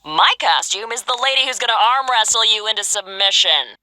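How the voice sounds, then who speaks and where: A woman's voice: medium pitch, lively intonation, brisk, bright, forceful tone. A woman voicing a confident, spirited young heroine in a fantasy video game. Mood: bold, cheerful. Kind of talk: video game character voice acting.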